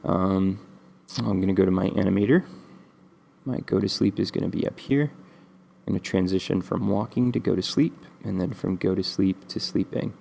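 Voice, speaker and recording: Man, very low gravelly voice, congested from a cold; easygoing, rambling tutorial host. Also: normal speed, a little hiss or hum. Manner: relaxed, rambling with many ums. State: stuffy from a cold. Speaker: game development tutorial host. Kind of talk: casual screen-recorded coding tutorial.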